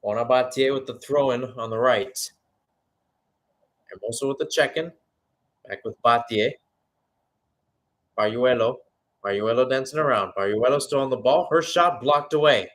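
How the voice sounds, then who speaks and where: A man with a mid-pitched voice commentates on a live football match in radio play-by-play style. He follows the action in short calls, then talks it over conversationally, as if thinking aloud. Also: normal speed, long pauses, fairly bright tone.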